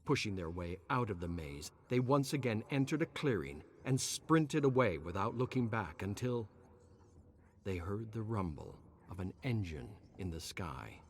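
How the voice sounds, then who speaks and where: A man's voice: fairly low pitch, sing-song, measured pace, a little hiss or hum. A man narrates a scripted story in a dramatic, theatrical and suspenseful way.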